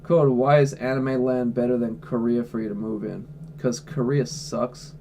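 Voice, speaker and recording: Blunt, mellow-voiced male streamer. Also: mid-range voice, level tone, moderate pace, a little hiss or hum. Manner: blunt, offhand, swears freely. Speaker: livestreamer answering chat questions. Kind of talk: casual livestream chat. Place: at home indoors.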